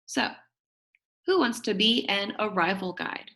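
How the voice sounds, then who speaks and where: A brisk, good-humoured substitute teacher as a woman reads him aloud addresses his class from a children's storybook. He is instructive and a little jokey, getting the pupils down to business. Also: mid-range voice, expressive, a bit bright.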